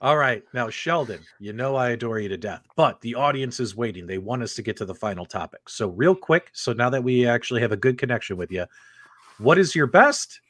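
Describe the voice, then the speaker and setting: A man's voice: mid-range voice, animated, fairly quick, fairly bright tone, no room sound, clean studio sound. A man hosting a casual live show, chatting with callers in an effusive, chummy way.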